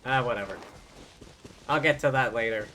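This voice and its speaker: A woman's voice: a bass voice, normal speed, fairly bright tone, a little hiss or hum. A woman livestreaming a game with casual, dry and irreverent commentary.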